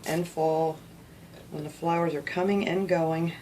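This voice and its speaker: A low-pitched woman talks through a craft project in an easygoing, chatty way, sounding sniffly. She keeps slipping in "you know" while she explains each step. The home recording has clear background noise and clicks. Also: fairly even intonation, unhurried, mellow, low-quality recording. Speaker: craft video host. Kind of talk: casual step-by-step craft tutorial.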